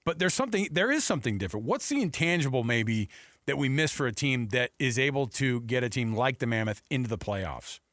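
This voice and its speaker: Man, mid-pitched, dry studio sound, casual sports co-host working his way toward a question. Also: big pitch swings, brisk, fairly bright tone, no room sound. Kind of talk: casual interview chat.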